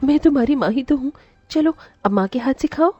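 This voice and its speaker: High-pitched, protective motherly aunt, acted by a woman. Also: animated, a little fast, mellow, no room sound, muffled recording. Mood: tender. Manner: earnest, emotional, emphatic. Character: loving, protective young aunt, firm and motherly. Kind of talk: dramatised moral story voice acting.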